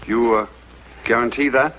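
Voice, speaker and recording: A man with an American accent playing a courteous, hesitant government official in an old TV drama, speaking formally and haltingly. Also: mid-range voice, fairly even intonation, close to an everyday voice. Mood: probing. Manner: halting, deliberate, formal and polite. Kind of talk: mid-century American TV drama acting. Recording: dull, noisy vintage TV soundtrack.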